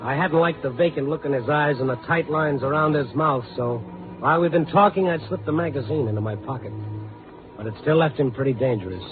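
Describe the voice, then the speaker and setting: A man acts a hard-boiled newspaper reporter in a 1950s radio play. His voice is slightly high, with measured, terse, probing delivery. The sound is narrow and tinny. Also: music in the background, close to an everyday voice. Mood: grim narration. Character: steady, probing, world-weary male newspaper reporter. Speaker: narrator and lead of a radio drama. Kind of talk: 1950s radio drama, hard-boiled first-person narration.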